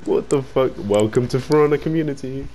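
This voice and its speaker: A man's voice: medium pitch, a little fast, a crowd in the background. A man in an online game voice chat, exasperated and swearing as he argues back. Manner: exasperated, swearing, laughing off insults. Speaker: online game player and recorder. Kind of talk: heated voice chat argument.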